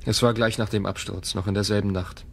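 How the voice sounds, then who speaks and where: Wary tech founder in an audio drama, creaky mid voice, bit of background noise. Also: male voice, lowish voice, steady tone, brisk. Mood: guarded. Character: wary, world-weary male tech company founder. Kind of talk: German audio drama dialogue.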